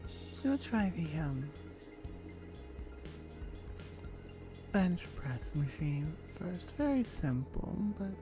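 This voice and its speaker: Casting: a woman as strong female oni gym partner, confident and teasing, lowish unhurried voice, coaxing intimate ASMR delivery. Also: very animated, over music, exaggerated voice. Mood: seductive, coaxing. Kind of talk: ASMR roleplay, improvised.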